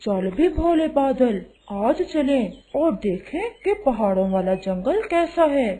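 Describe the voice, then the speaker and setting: A woman's voice: sits high, big pitch swings, slow pace, mellow, heavily acted. A woman voicing plain-spoken bird and cloud friends in a children's cartoon, in a matter-of-fact manner. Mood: friendly, eager. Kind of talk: Hindi children's cartoon voice acting.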